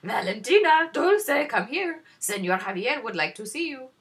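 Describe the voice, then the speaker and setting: A woman's voice: high voice, sing-song, normal speed, fairly bright tone, pitched above the performer's own voice. A woman voicing a haughty, scheming older mother who is commanding and fussy in a storybook. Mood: gushing. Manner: commanding, fussy, gushing to guests.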